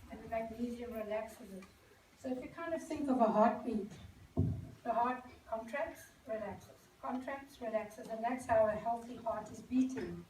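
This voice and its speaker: A South African woman with a slightly high voice talks informally to an audience, explaining as she goes and often pausing on "um". She is picked up from well off the mic in an echoey indoor venue, with noticeable noise around her. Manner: conversational, explaining with many ums and self-corrections. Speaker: speaker at a celebration event. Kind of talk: informal talk to a live audience. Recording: echoey room, mic far off, noisy.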